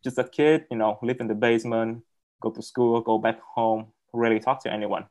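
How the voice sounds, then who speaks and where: A young man who speaks English as a second language, telling his story as a guest on a video call, halting and repeating words with many fillers. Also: medium pitch, steady tone, darkish tone. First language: not English. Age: young adult. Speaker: interview guest. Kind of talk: casual interview on a video call.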